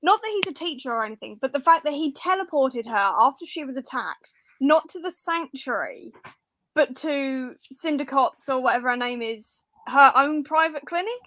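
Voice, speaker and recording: A woman's voice: sits high, animated, moderate pace, a bit bright, no room sound, low-quality recording. A woman talking casually about books, telling her points in an informal, emphatic way. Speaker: book readalong panellist.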